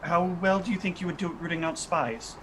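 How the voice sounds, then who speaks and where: Deliberate male roleplayer with faint background noise. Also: fairly high pitch, bright, forceful tone. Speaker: player in a tabletop roleplaying game. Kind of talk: improvised in-character tabletop roleplay.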